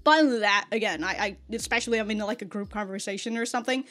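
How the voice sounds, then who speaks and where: A woman's voice: mid-range voice, very fast, fairly bright tone, faint music behind. A woman hosting a casual reaction video, talking in an exaggerated, rambling way with stammered repeats and frequent swearing. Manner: self-described exaggerated on-camera delivery. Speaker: YouTube reaction host.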